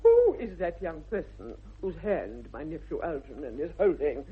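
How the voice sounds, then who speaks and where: Imperious, swooping aristocratic English matriarch. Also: female voice, low-pitched, wildly swooping pitch, normal speed, breathy edge, full character voice. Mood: imperious disapproval. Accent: upper-class English. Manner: haughty, declamatory, precisely articulated. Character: imperious, formidable aristocratic English mother and aunt. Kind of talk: 1960s radio comedy acting. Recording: tinny, band-limited vintage radio recording.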